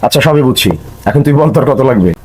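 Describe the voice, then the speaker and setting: A man's voice: medium pitch, animated, fast, darkish tone, breathy edge, low-quality recording. A man with a Bangladeshi accent acting as a puzzled, sympathetic village friend in a comic drama, asking incredulous questions. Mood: puzzled, concerned. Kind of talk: scripted comic drama dialogue.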